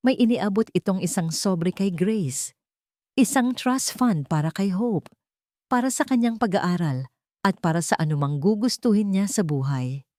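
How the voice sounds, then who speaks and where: A woman voicing a powerful, wealthy older grandfather who speaks softly, solemnly and apologetically in an audio drama. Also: medium pitch, lively intonation, measured pace, dry recording, clean studio sound, lightly acted. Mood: tender. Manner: soft-spoken, solemn, apologetic. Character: remorseful, powerful wealthy grandfather, gentle and earnest.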